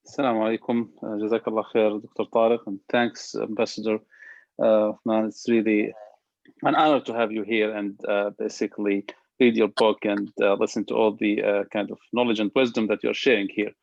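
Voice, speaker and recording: Man from gaza, audience question, halting, gravelly voice, dry lo-fi call. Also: on the low side, little pitch movement, dry recording. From Gaza, Palestine. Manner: halting, many fillers and restarts. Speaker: audience member asking a question. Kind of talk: casual question on a video call. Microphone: video call. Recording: dry, dull lo-fi call audio.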